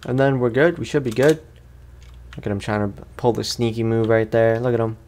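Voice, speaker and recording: A man's voice: mid-range voice, steady tone, fairly quick, a little mellow. Man, slangy casual gaming commentary. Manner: slangy, talks to himself and to the game enemy. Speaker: gaming host, let's play streamer.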